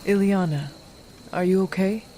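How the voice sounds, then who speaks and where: A woman playing an earnest, stoic warrior in a video game, measured and formal. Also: mid-range voice, noisy background, close to an everyday voice. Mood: sombre concern. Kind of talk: dramatic video game acting. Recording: music behind.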